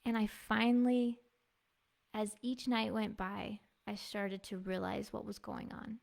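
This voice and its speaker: Soft-spoken woman with a gravelly edge. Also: medium pitch, level tone, a little slow. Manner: earnest, heartfelt, soft-spoken, with small laughs. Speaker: devotional speaker and music minister. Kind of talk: personal devotional testimony. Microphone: clip-on mic.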